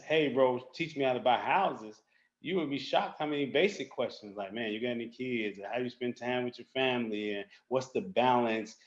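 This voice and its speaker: A man speaking as a guest, relaxed and rambling, with casual street slang. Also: medium pitch, reverberant, muffled recording. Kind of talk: casual conversation.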